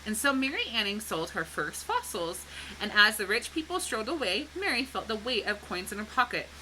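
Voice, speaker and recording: A woman's voice: mid-range voice, very animated, brisk, sharp tone, a little hiss or hum, low-quality recording. A woman reading a children's book aloud expressively, with dramatic emphasis and a teacherly way of explaining. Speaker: storybook reader.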